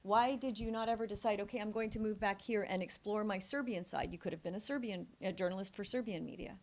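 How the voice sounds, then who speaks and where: A woman moderating a book launch, asking probing questions in a soft-spoken, conversational way. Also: mid-range voice, fast talker. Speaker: interviewer moderator. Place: press club event room.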